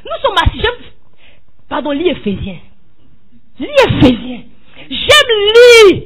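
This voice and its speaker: A woman's voice: high-pitched, huge pitch swings, takes it slowly, rough recording. A woman preaching an impassioned sermon, tossing questions to the congregation and rising to cries.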